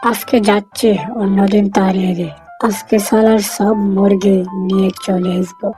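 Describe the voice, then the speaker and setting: A woman's voice: mid-range voice, flat delivery, normal speed, music in the background, lightly acted. A woman voicing an eager, scheming animal out hunting in a cartoon story.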